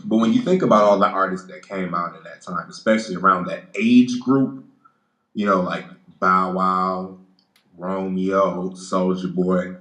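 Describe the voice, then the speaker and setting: A man's voice: deep voice, steady tone, unhurried, fairly bright tone, echoey room. A man in his late twenties, a guest in a casual chat, blunt and profane, stumbling through restarts. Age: 20s.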